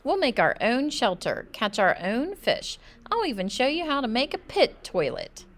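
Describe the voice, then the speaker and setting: A kindly outdoorsy father in a children's storybook, read by a woman. He is proud and gently persuasive, with animated, swooping intonation. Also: a little high, normal speed, pitched above the performer's own voice.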